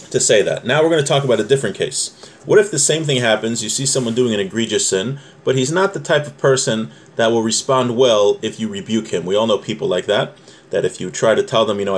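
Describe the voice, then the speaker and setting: Man teaching a religious law class. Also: on the low side. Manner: conversational, explains step by step with rhetorical questions. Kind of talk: informal text lesson, reading a source aloud and explaining it.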